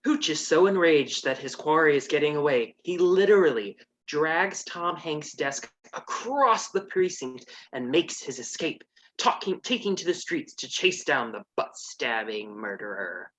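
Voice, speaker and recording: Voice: medium pitch, animated, measured pace, a bit bright, poor audio. A comedian narrating an abridged story with sassy, mock-serious commentary.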